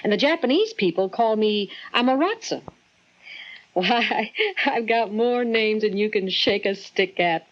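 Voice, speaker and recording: A woman's voice: mid-range voice, animated, measured pace, lightly acted. A woman playing a kindly, ancient snow goddess as a folksy old grandmother in a 1940s radio drama. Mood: chuckling, folksy. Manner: folksy, chuckling, indulgent. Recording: dull, band-limited 1940s radio recording.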